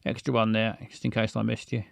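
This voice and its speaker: A man's voice: fairly low pitch, monotone, fairly quick, gravelly, a little hiss or hum. A man, casually chatting with stream viewers in a rambling, stammering, self-mocking way. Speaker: livestream host.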